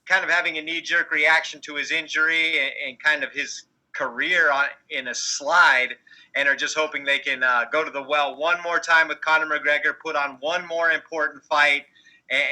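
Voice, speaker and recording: A man with a very bright, sharp voice set a little high. He is a podcast co-host giving casual but opinionated sports analysis, arguing and explaining his points. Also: steady tone, normal speed, rough recording. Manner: argumentative, explanatory.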